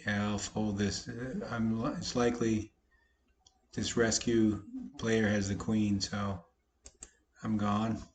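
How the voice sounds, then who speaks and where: A slightly hoarse man, a poker vlogger, casually talking through his online poker hands with a folksy, self-deprecating manner. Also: fairly low pitch, little pitch movement, slow, slight background noise. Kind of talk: casual commentary over online poker play.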